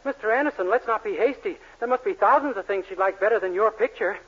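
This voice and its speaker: A man's voice: high-pitched, quick pace, fairly bright tone, husky. An actor playing a naive, eager young man who wisecracks innocently in a 1940s radio comedy. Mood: naive, cheeky. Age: young adult. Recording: muffled, noisy 1940s radio recording.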